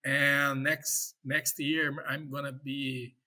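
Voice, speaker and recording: A man from Brazil with a Brazilian Portuguese accent, Portuguese native, speaking as a professor in a podcast interview, halting with many fillers and restarts. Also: medium pitch, level tone, pushed and bright. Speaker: professor and guest.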